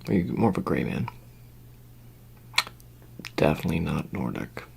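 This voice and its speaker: A softly whispering ASMR performer of unclear sex rambles haltingly and casually in a roleplay as a laid-back handler, slipping between breathy whisper and low murmur. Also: expressive, slight background noise. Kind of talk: ASMR roleplay, soft improvised monologue.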